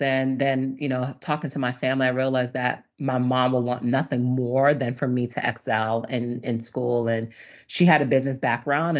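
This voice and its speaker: A middle-aged woman born in Nigeria and raised in the US, telling her life story as a podcast guest in a chatty, animated way with frequent ums. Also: rumbling low. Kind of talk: casual conversational storytelling. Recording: dull lo-fi audio.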